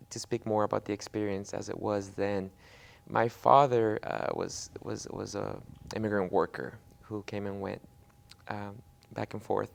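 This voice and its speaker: A man's voice: fairly low pitch, creaky. A young man born in Mexico whose first language is Spanish, answering interview questions with many fillers and restarts. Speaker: guest.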